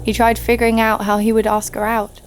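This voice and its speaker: A woman's voice: fairly high pitch, monotone, fairly quick, slight background noise, close to an everyday voice. A woman playing a sassy, snarky heroine who narrates an audio drama story over faint music. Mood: storytelling. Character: sassy, snarky female sidekick-owning storyteller, fond but teasing. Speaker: narrator and lead. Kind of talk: scripted first-person story narration.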